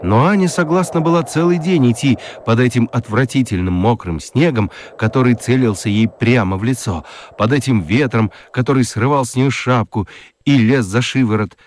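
A man giving an expressive, theatrical scripted narration of a children's story. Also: mid-range voice, moderate pace, a little hiss or hum.

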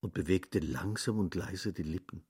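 A low-pitched man with a husky, breathy voice reads literary narration calmly and evenly. His delivery is plain and unhurried. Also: no room sound, clean studio sound. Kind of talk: scripted literary narration for radio drama.

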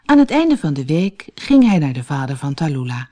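A storyteller reading a children's fairy tale from a script in an expressive, deliberate way, as for an audiobook. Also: mid-range voice. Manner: expressive, deliberate read-aloud delivery.

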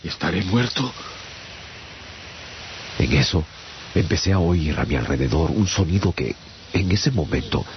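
A man narrating a radio drama as a traveller recounting his adventure, in a theatrical, deliberate storytelling manner. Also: deep voice, noisy background, exaggerated voice. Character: adult male traveller recounting his strange captivity. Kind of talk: dramatised first-person audiobook narration. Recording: dull radio audio over clear music.

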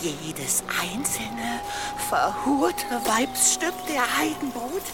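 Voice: mid-range voice, big pitch swings, extremely slow, loud music behind the voice. An actor playing a hateful, vengeful religious zealot in a radio play, fanatical and agitated. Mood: brooding, hateful. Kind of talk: radio drama acting.

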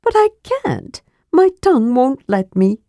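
A woman voicing a small, cheeky male kender who fibs excitedly, chirpy and bouncy. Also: high voice, a little slow, dry recording, studio quality, pitched above the performer's own voice. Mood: playful.

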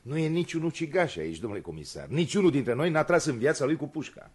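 An actor playing a proper, easily indignant playwright in a radio comedy, speaking formally and reprovingly. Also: male voice, on the high side, lively intonation, a little mellow, breathy edge. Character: indignant, proper male playwright among quarrelling suspects. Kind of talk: Romanian radio comedy acting.

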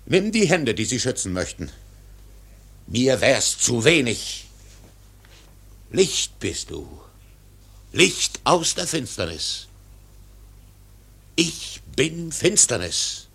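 Old sea captain, radio drama, husky, gravelly, solemn declamation, fanatical defiance. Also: male voice, medium pitch, a bit bright, exaggerated voice. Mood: awed, defiant. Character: Ahab, obsessed defiant old male whaling captain. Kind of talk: literary radio drama monologue.

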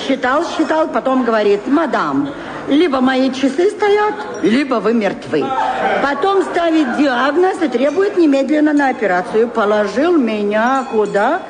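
An actress playing a brassy, wisecracking madame who hosts a seedy cabaret revue and banters with the audience in a theatrical, self-mocking way. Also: female voice, high voice. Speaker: cabaret emcee. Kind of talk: theatrical radio drama comic monologue. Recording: background music and audience noise.

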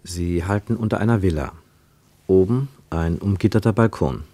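An actor as a reflective, analytical narrator in a radio play, commenting with deliberate, emphatic phrasing. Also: male voice, deep voice, mellow, no echo. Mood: descriptive. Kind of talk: scripted literary narration and commentary.

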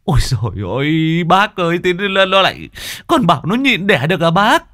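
A man's voice: fairly low pitch, lively intonation, moderate pace, warm tone, dry recording, clean studio sound. A man playing a flustered, devoted young village husband who stammers and pleads in an audio story. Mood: frantic pleading. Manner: stammering, repeating words in panic.

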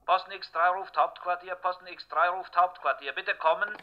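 Alert field cop, radio drama: high voice, terse official reports through muffled police radio. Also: male voice, steady tone, fairly quick. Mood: dutiful. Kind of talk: 1960s German radio drama acting.

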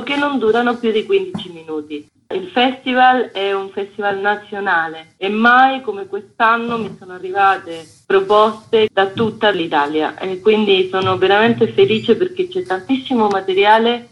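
A woman giving an enthusiastic, explanatory radio interview as an event organiser. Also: mid-range voice. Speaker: festival organiser, interview guest. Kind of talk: spontaneous promotional interview talk. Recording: dull, band-limited radio interview sound.

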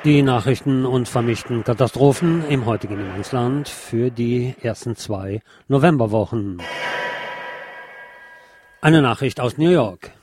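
A man's voice: mid-range voice, a little slow, music in the background. A man reading scripted news as a radio presenter.

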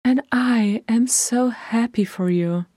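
A woman voicing a calm, motherly elder dwarf sister who coaxes and soothes. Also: on the high side, slow, dry recording, studio quality, voice pushed up. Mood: soothing.

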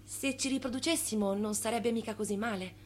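High-voiced spirited young bride played by an actress. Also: female voice, brisk, lightly acted. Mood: plaintive. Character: Rose, devoted, spirited young bride, defensive of husband. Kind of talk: theatrical radio drama acting.

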